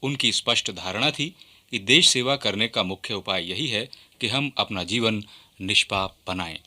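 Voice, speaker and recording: A man's voice: lowish voice, normal speed, sharp tone. A man delivering scripted radio documentary narration in a formal, declamatory manner.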